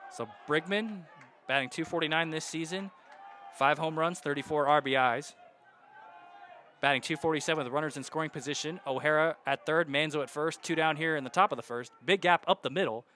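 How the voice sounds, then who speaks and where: Bright-voiced young man calling baseball. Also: fairly high pitch, a little fast, a faint crowd, slight background noise. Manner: steady count-and-situation narration, rising loud on hits. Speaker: play-by-play announcer. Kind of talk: radio baseball play-by-play.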